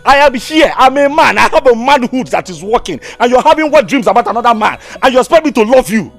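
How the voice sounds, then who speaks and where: A man's voice: high voice, sing-song, fast talker, breathy edge, heavily acted. A man playing a frustrated village husband who complains in exasperation. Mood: exasperated.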